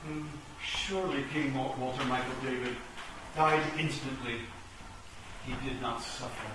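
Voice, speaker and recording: A man's voice: medium pitch, a little slow, recorded from a distance, close to an everyday voice. Echoey noisy hall recording, man acting as a vain lecherous chess king, pompous, florid, radio play. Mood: smug sympathy.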